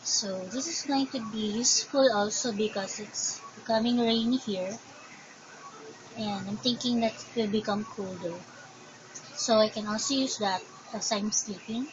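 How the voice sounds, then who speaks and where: A woman's voice: mid-range voice, a little slow. A woman with a Filipino accent, Tagalog her first language, casually showing her crafts and thinking aloud in a hesitant way. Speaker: crafting vlogger. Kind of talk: casual show-and-tell. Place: home. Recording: dull lo-fi sound with background noise.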